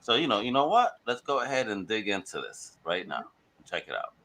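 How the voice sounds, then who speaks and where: A man's voice: fairly low pitch, very animated, a little fast, very noisy. A man hosting a podcast, enthusiastic and gushing in casual chat. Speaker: podcast host.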